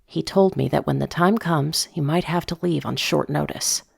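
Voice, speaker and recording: Woman narrator, deep voice w lots of fry, reflective diary style storytelling. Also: normal speed, darkish tone, dry recording, studio quality. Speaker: narrator of a fiction podcast story. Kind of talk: first-person dramatic scripted narration, diary entries.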